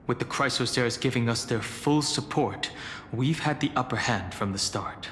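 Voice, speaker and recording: An actor playing a grave, contemplative, scholarly companion in a video game, speaking in a deliberate, portentous way. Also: male voice, mid-range voice, a bit bright, a little hiss or hum, natural voice. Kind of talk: dramatic video game voice acting.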